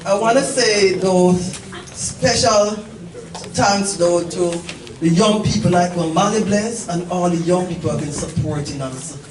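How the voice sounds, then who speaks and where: A middle-aged woman, telling a story informally and emphatically to a gathering as its host, repeating phrases and checking in with listeners. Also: fairly low pitch. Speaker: event organizer and host. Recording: echoey, distant mic, noisy live gathering.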